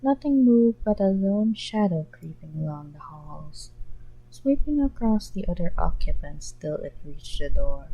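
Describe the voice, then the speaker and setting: A woman reading fan fiction aloud in an expressive storytelling style, on a muffled home recording. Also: on the low side.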